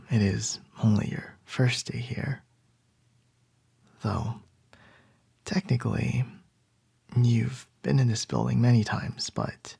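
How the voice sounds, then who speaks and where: A man playing a calm, charming male CEO boss in an ASMR roleplay, soft and reassuring with a light teasing edge. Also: lowish voice, vocal fry, husky, no room sound, exaggerated voice.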